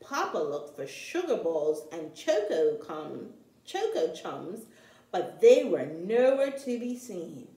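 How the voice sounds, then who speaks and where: A woman reading a children's picture book aloud in an expressive, deliberate storytelling manner, as if to young children. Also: on the low side, echoey room. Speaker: storytime reader, teacher-like.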